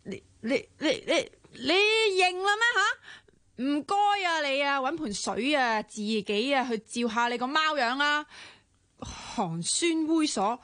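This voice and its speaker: An actress in a Hong Kong radio drama playing a loud, rude young woman who teases sharply, with a Hong Kong Cantonese accent. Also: sits high, lively intonation, takes it slowly, dry recording, heavily acted. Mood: mocking. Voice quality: loud, brusque, teasing. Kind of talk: broadcast radio drama acting.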